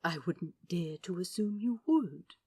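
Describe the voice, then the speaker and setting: A woman plays a kindly male angel in an audiobook story. The voice is soft and murmuring, tender and a little hesitant, with slow, careful delivery and a close, dry sound. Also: on the low side, lively intonation, husky, dry recording, full character voice. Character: kindly, gentle male angel, softly concerned.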